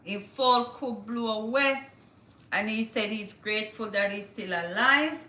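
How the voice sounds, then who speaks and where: A woman hosts a relaxed livestream, chatting and thinking out loud as she reads news and looks things up. Her voice is bright and forward, and the room around her gives it a noticeable echo. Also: a little high, measured pace. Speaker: livestream host. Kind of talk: casual livestream chat, reading news aloud.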